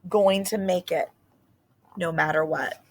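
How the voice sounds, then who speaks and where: Woman reading a picture book aloud, unhurried. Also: fairly low pitch, steady tone, slight background noise. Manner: unhurried, short deliberate phrases with dramatic pauses. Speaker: read-aloud storyteller. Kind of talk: expressive picture-book read-aloud with brief asides.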